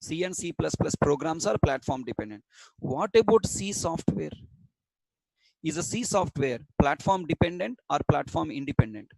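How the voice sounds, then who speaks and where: A man's voice: a little high, lively intonation, moderate pace, gravelly, a little mellow, dry recording, rough recording. A stern man from Coastal Andhra with a Telugu-accented Indian English, lecturing an online programming class, repeating points and quizzing students. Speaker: programming instructor.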